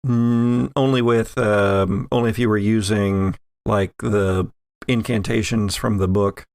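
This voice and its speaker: Male game master, slow improvised horror narration, creaky tone, dry recording. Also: lowish voice, fairly even intonation, a little mellow, no room sound.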